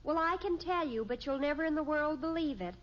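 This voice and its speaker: An actress playing a dramatic, sharp-tongued American teenage daughter in a radio sitcom, speaking emphatically. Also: female voice, high-pitched, fairly quick, lightly acted. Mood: incredulous. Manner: overdramatic, emphatic. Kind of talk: 1950s radio sitcom acting. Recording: muffled old radio recording, faint hiss.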